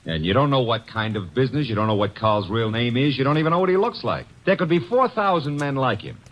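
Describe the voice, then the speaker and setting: A man's voice: medium pitch, expressive, fast, close to an everyday voice. An actor playing a brash, wisecracking young male assistant detective in an old radio drama. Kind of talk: 1940s radio drama acting. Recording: muffled old radio recording.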